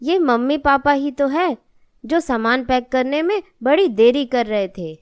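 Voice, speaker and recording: A chatty little grandson from a cartoon moral story, played by a woman. The young boy's voice is high-pitched. It is recorded dry, with no room sound. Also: animated, unhurried, darkish tone, smooth, no echo. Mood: cheerful tattling.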